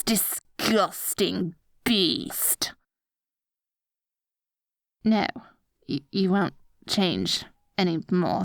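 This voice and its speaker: A woman playing a dorky evil sorceress queen in an ASMR roleplay, petty but secretly soft-hearted, mixing mock-villain grandeur with awkward asides. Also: medium pitch, no room sound, clean studio sound. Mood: menacing, then deflated, flustered. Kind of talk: ASMR roleplay, addressing the listener directly.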